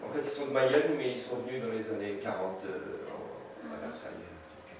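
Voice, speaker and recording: Man in his fifties from France, photographer giving a hesitant seminar talk; mid-pitched, recorded from afar in a very echoey room. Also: expressive, moderate pace. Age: 50s. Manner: hesitant, many fillers and restarts, light chuckles. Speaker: photographer presenting his work. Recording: echoey room, distant mic, noisy.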